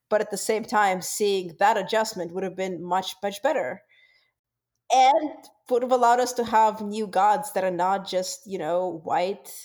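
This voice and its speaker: A woman's voice: medium pitch, a little mellow. A woman from Russia with a Russian accent, talking in a casual discussion and often restarting her sentences as she thinks. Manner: thoughtful, with frequent restarts and repeated words.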